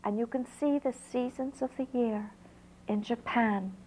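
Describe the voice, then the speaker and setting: A woman's voice: fairly high pitch, fairly even intonation, a little slow, warm tone, close to an everyday voice, rough recording. Lady doing a generous gracious sea princess for a folk tale, some noise behind.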